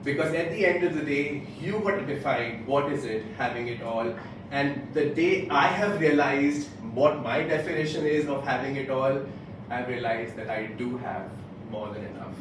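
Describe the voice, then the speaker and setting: A very reverberant, distant recording with some noise carries a young man. He delivers a motivational club speech deliberately, breaking it into short rhetorical phrases. His pitch sits in an ordinary middle range for a man. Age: late twenties. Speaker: club speaker giving a prepared speech. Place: indoor club meeting room, picked up from a distance. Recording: very echoey room, distant mic, background noise.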